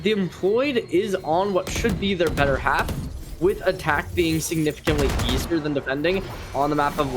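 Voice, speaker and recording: A man giving measured, explanatory live analysis of a video game match as an esports commentator. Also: on the high side.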